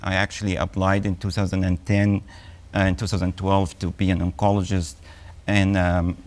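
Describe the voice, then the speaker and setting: A man in his forties with an Egyptian accent, an oncologist speaking as a guest in a TV health interview, measured and full of ums and uhs. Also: low voice, little pitch movement, a little mellow.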